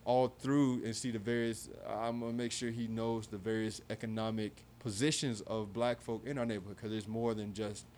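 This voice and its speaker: Man, 30s, lowish voice, earnest and reflective podcast co-host, faint background hiss. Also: flat delivery, normal speed, few pauses, a little mellow. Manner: earnest, reflective, speaks in restarts and fillers. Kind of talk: conversational discussion.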